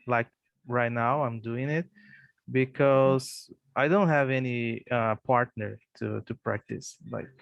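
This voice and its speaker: A man's voice: medium pitch, takes it slowly, vocal fry, dry recording, dull audio. A Brazilian man with a Portuguese accent, a native Portuguese speaker practicing English in a casual online lesson, hesitant with many fillers and restarts. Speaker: English learner.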